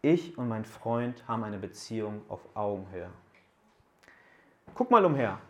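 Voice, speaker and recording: A man's voice: on the low side, animated, unhurried, a little mellow. Man, 30s, youth camp preacher, casual and joking, talks to a live room. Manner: casual, joking, asks the audience questions. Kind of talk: sermon talk to young people.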